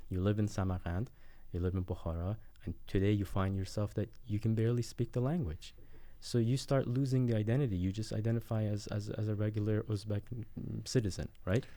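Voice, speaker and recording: A man's voice: fairly low pitch, fairly even intonation, a little fast, warm tone. A man speaking as a roundtable guest, earnest and often tagging his points with "right?". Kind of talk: roundtable discussion.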